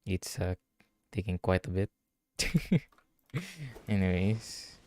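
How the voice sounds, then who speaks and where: A man's voice: lowish voice, very animated, extremely slow, darkish tone, no echo. A man from the Philippines with a Filipino accent, thinking aloud in casual livestream game commentary. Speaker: streamer. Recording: game music behind the voice. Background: video game music.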